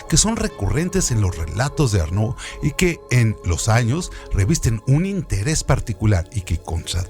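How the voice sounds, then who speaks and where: A man presenting scripted educational literary narration in a didactic way, framing facts as rhetorical questions. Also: mid-range voice.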